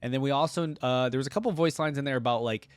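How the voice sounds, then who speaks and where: A man's voice: mid-range voice, fast, smooth, no room sound. A man hosting a reaction video, commenting casually and thinking aloud in an informal way.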